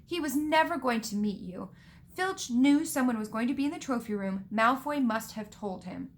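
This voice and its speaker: A woman's voice: a little high, expressive, moderate pace, slight background noise, close to an everyday voice. A woman voicing a bossy, exasperated schoolgirl who scolds urgently in a storybook reading. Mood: reproachful.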